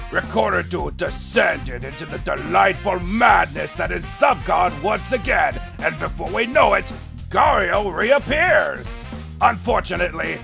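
A man's voice: sits high, vocal fry. A man hosting and narrating a scripted video intro in a playful, upbeat announcer manner. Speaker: host.

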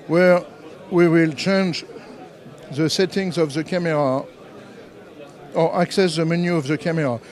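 A middle-aged Frenchman explains equipment at a trade show booth, speaking into a handheld microphone. His voice sits a little high, and his English is halting and full of "uh" fillers as he searches for words. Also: darkish tone. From France. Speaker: company representative demoing products. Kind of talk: trade show product demo. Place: trade show booth, indoors, busy hall.

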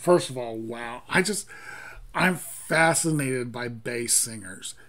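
A man's voice: mid-range voice, slow, sharp tone. A middle-aged man hosting a music reaction from home, talking casually and enthusiastically with lots of ums and restarts. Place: home room with Halloween decor and lights.

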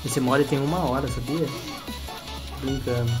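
A man's voice: mid-range voice, steady tone, moderate pace. A man in his thirties or forties with a Brazilian accent, chatting casually and teasing playfully during gameplay. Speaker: gaming channel host. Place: room at home, indoors. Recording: loud game music behind.

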